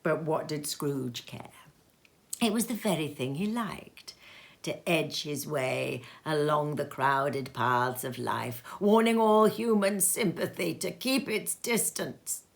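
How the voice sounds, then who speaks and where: A woman reading a classic story aloud at home in her sitting room, slow and expressive, sometimes dropping to a whisper. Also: low voice, creaky.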